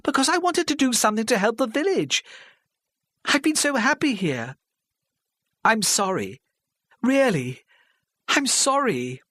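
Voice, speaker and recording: A man's voice: extremely high pitch, big pitch swings, normal speed, long pauses, bright, forceful tone, no echo, clean studio sound, voice pushed up, full character voice. A man voicing a nervous older woman. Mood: pleading. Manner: pleading, apologetic. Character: older middle-class woman, anxious and earnest.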